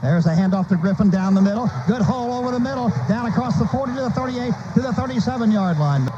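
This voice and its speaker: A man's voice: extremely high pitch, animated, fast talker. An American man calling a live football game on the radio in clipped, urgent, staccato phrases. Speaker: radio play-by-play announcer. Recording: noisy, dull lo-fi radio broadcast.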